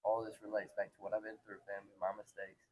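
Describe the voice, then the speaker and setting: Guy in his 20s with a lowish voice just talking casual, earnest and slangy, tells stories and repeats stuff. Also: completely flat delivery, fast talker, highs cut off. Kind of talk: casual conversational storytelling.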